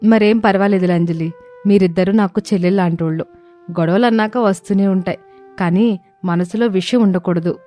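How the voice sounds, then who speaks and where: A woman acts as a kind, forgiving young village wife in a storybook drama. The voice is soft-edged and mellow, with earnest, gentle phrasing over faint music. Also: medium pitch, normal speed, natural voice. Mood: kindly, reassuring. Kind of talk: acted moral story dialogue.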